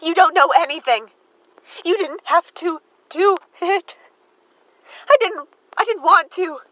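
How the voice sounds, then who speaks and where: A young woman playing a devout, unhinged murderous sister in an audio drama, with halting, fervent delivery. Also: very high voice, fairly bright tone, no echo, low-quality recording. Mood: agitated, haunted. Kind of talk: high school audio drama acting.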